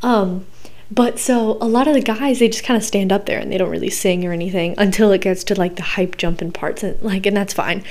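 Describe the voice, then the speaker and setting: A young woman hosts her own podcast in a voice gone hoarse and scratchy. She rambles in a loose, chatty way, filling every pause with ums and uhs. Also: mid-range voice, steady tone, darkish tone. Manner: rambling, chatty, many fillers. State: hoarse, recovering from losing her voice. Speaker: podcast host. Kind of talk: casual solo podcast chat.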